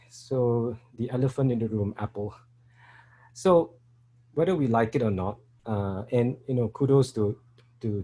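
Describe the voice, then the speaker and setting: A man's voice: fairly low pitch, mellow, a little hiss or hum, low-quality recording. A middle-aged man from Singapore with a Singaporean English accent, giving a talk at a developer meetup, hesitant with many fillers and restarts. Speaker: meetup speaker. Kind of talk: conference talk.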